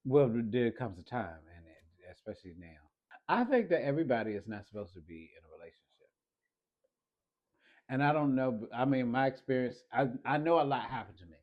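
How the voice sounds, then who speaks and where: A man in his fifties talking in a casual panel chat, emphatic, with his pitch jumping up when he insists on a point. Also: big pitch swings, fairly quick. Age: 50s. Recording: dull lo-fi sound.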